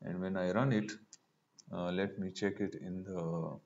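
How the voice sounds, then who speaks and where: A man giving a step-by-step screencast programming tutorial, halting as he thinks aloud while typing. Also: low voice, fairly even intonation, vocal fry, darkish tone. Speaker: programming instructor. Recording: dull lo-fi mic with noise.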